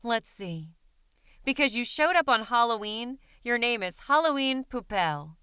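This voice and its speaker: A soot-covered young chimney sweep who speaks calmly and kindly, voiced by a woman narrator. The voice is bright, clear and slow, recorded close with no room sound. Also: on the high side, no room sound.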